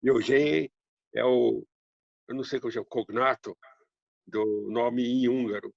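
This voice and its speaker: Older writer, native hungarian, slight hungarian-tinged accent, husky gravelly voice, muffled dull sound. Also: male voice, mid-range voice, fairly even intonation, dry recording. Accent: slight Hungarian-tinged Brazilian Portuguese, heavy R. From Brazil, raised in São Paulo. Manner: unhurried, rambling, frequent tag questions. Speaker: guest writer. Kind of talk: casual interview storytelling.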